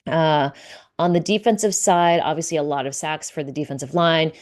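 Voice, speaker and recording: A woman's voice: low voice, normal speed, a little mellow. A woman reporter casually recapping team news, relaying coach quotes and reading out player stats with frequent fillers. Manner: conversational, many fillers, reads out stats and quotes. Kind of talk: casual solo recap to camera.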